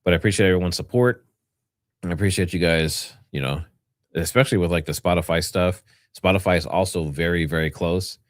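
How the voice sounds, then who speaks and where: A man's voice: deep voice, brisk, no room sound, studio quality. A man hosting a livestream, giving informal, rambling commentary and partly reading from notes. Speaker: livestream host.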